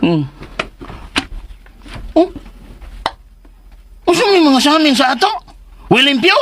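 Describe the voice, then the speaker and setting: Vain bossy grump, blustery comic radio drama, pitch jumps all over the place. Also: sing-song, slow pace, fairly bright tone, no room sound, full character voice. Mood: vain, grumbling. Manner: blustering, exclamatory, exaggerated. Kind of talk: comedic radio drama acting in Cebuano.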